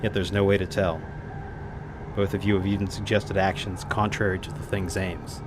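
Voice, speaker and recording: A man's voice: low voice, flat delivery, normal speed, noisy background, close to an everyday voice. An actor playing a grave, suspicious man on a besieged polar expedition in an audio drama, terse and blunt. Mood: grim suspicion.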